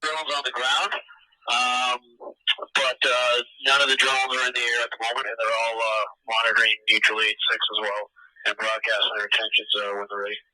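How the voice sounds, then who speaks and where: Guy on the radio calling in a search, sharp bright voice, lots of uh's. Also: mid-range voice, level tone, no echo, rough recording. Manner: halting, full of fillers. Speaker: emergency responder on radio. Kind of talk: radio dispatch chatter.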